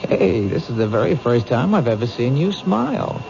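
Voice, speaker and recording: An actor playing an earnest, protective police detective in a 1970s radio drama, plain-spoken and persistent. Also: male voice, medium pitch, sing-song, moderate pace, gravelly. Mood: friendly. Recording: muffled, hissy old radio recording.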